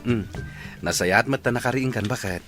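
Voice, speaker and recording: An actor playing a gentle, worried young husband and expectant father, with an Ilocano Filipino accent in radio drama style. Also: mid-range voice, moderate pace, music in the background, lightly acted. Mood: tender, caring. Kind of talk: radio comedy-drama acting.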